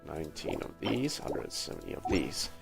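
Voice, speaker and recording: A man's voice: very deep voice, sing-song, moderate pace, vocal fry. A man livestreaming a video game with casual commentary, thinking aloud in short remarks. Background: game music.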